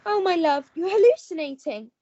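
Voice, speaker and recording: High-pitched gushing posh young heroine played by a woman. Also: lively intonation, normal speed, dark tone, highs cut off. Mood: soothing. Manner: gushing, old-fashioned jolly phrasing. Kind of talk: melodramatic radio play acting.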